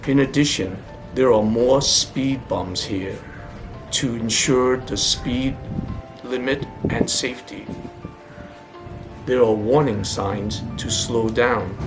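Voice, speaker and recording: A man from the United States giving a measured, informative travel narration as a voice-over. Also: medium pitch, low-quality recording. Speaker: travel vlogger. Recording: narration over clear background music.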